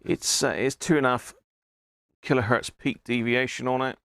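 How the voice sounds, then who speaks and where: British male hobbyist streamer: informal, rambling, chummy, mid-pitched voice in a dry acoustic. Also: fairly even intonation, normal speed, a bit bright, clear, resonant tone, no echo. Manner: rambling, thinking aloud, chummy asides. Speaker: livestream host, CB radio hobbyist. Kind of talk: casual live chat to viewers. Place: indoor radio room.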